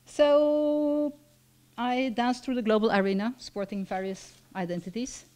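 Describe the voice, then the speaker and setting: A woman's voice: a little high, steady tone, dark tone. Belgian woman reading a reflective talk. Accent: Flemish Belgian. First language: Dutch. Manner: reflective, measured, with frequent ums. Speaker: lecturer. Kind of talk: personal talk read from notes. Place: lecture hall stage at a lectern. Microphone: stand mic at a lectern.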